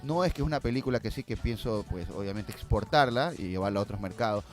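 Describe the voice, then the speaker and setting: Deep-voiced Ecuadorian man over prominent music. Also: lively intonation, quick pace. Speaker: guest filmmaker and producer. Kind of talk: conversational interview answers.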